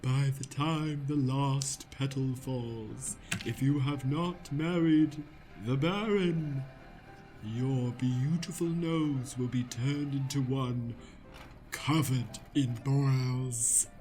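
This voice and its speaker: Woman as a slow, portentous ghostly mother. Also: very deep voice, mellow, faint music behind, poor audio. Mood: ominous. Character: ghostly dead mother bearing a cursed rose, ominous. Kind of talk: improvised radio play.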